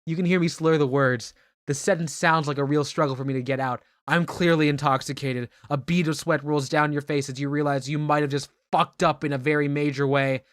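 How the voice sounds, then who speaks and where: A man's voice: on the high side, fairly even intonation, fairly quick, no echo, studio quality, natural voice. A man voicing a drunk, menacing brawler at a bar, brooding and ominous, in a mock-dramatic comedic reading. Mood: brooding menace. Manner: slurred, brooding, ominous storytelling in first person.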